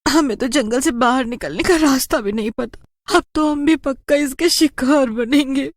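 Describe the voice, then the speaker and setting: A young girl in a spooky storybook, voiced by a woman, high and childlike. She is scared yet scheming, and speaks earnestly and insistently. The recording is dry. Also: moderate pace, no room sound. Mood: terrified.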